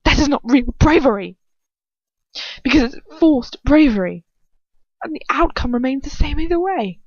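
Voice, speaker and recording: A woman's voice: high voice, lively intonation, normal speed, darkish tone, dry recording, dull audio, pitched above the performer's own voice. A woman reading the lines of a smug, condescending aristocratic male wizard who lectures about courage. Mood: lecturing.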